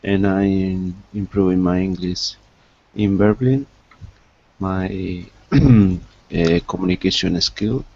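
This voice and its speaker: A man with a Spanish accent speaks in slow, halting learner English, pausing and clearing his throat. His voice sits very low. The video-call audio sounds somewhat muffled. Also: fairly even intonation, a little mellow. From Spain. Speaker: student.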